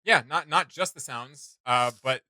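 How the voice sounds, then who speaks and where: A man's voice: mid-range voice, measured pace, pushed and bright, no echo. A man in his thirties co-hosting a reaction show, chatting casually in a rambling, enthusiastic way.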